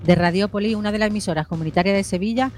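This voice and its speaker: A woman's voice: medium pitch, rapid-fire, darkish tone, faint music behind. A woman co-hosting a community radio show, introducing segments. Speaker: radio co-host.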